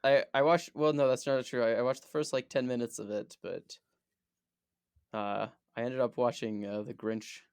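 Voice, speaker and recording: A man's voice: mid-range voice, level tone, normal speed, darkish tone, clean tone, dry recording, studio quality. A man co-hosting a podcast in casual chat, playful and rambling, often restarting his sentences. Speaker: podcast co-host.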